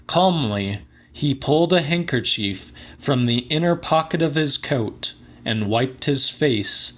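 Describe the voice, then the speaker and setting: A man narrating a horror story as a dramatic audiobook, in a measured, formal and theatrical manner. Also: medium pitch, a bit bright.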